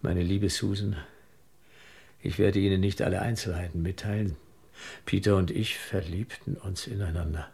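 Low, gravelly, weary husband. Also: male voice, fairly even intonation, moderate pace, a little mellow, heavily acted. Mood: bitter, subdued. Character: accused husband, weary, defensive, pleading with his wife. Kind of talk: German radio drama acting.

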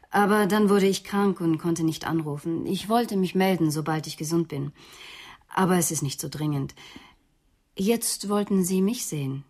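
An actress playing a guarded, self-justifying psychoanalyst in a radio crime drama, speaking carefully and formally. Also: female voice, on the low side, a little mellow.

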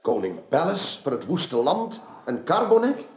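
A man's voice: fairly high pitch, huge pitch swings, unhurried. An actor playing a noble, gracious king in a radio drama, ceremonial and commanding. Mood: stately, gracious. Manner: ceremonial, declamatory. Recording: dull, roomy radio drama recording.

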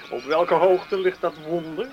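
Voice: medium pitch, slow pace, lo-fi sound, a little hiss or hum, close to an everyday voice. An actor playing a curious, down-to-earth teenage boy in a Dutch radio play, sceptical and bantering. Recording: radio play with loud outdoor ambience.

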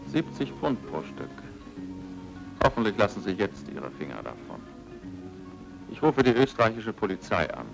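A serious noir man with a fairly low voice, speaking in clipped radio-play lines. Music is clearly audible behind him. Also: sing-song, normal speed, long pauses, rough recording. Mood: brisk, stern. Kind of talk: radio crime drama acting.

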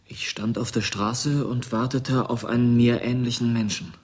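An actor playing a grave, strange, wounded youth who speaks in plain, solemn, measured statements in a German radio drama. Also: male voice, mid-range voice, fairly even intonation, breathy edge, natural voice. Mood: grave, forlorn.

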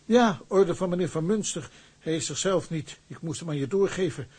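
Husky office clerk in a radio play, wry and matter of fact. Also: male voice, fairly high pitch, expressive, moderate pace, a little mellow.

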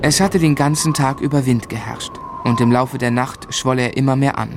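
An actor playing a grave, shaken narrator recalling a tragedy, with measured, dramatic literary delivery in a radio play. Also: male voice, medium pitch, fairly even intonation, noisy background, close to an everyday voice. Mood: tense, urgent. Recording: studio radio drama with musical underscore.

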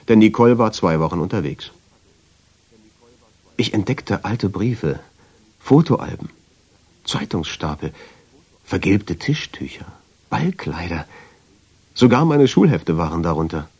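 A brooding, evasive husband in a radio play. His voice is low and a little husky, and it moves expressively as he speaks. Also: sing-song, measured pace, long pauses, a little mellow, full character voice. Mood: reflective. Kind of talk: German radio drama acting and first-person narration.

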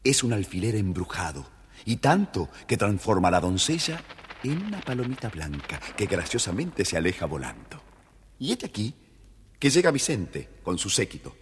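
A man narrating a scripted children's story in an expressive, theatrical way, with a husky voice. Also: lowish voice, normal speed, slight background noise. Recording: hall-like reverb, faint music behind.

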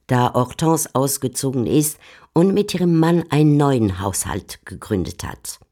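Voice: mid-range voice, mellow. A narrator telling a literary story in a scripted radio drama.

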